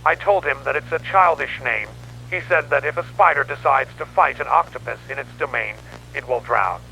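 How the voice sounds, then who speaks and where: A man's voice: mid-range voice, moderate pace, bright, forceful tone. A man playing a grim newspaperman who records a horror investigation log in a dramatic, grave storytelling manner. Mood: grim, ominous. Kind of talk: scripted analog horror narration. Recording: noisy radio-like tape with hum.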